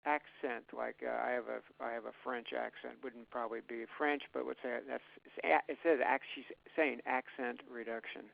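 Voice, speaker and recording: A man's voice: mid-range voice, flat delivery, normal speed, creaky, few pauses. A man moderating a webinar, hesitantly relaying audience questions with frequent uhs. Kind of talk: reading audience questions aloud. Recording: tinny phone-line audio.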